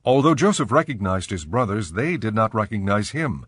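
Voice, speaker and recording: A man narrating scripture from a script in a measured, formal way. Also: on the low side, big pitch swings, a bit bright, slightly rough, no room sound.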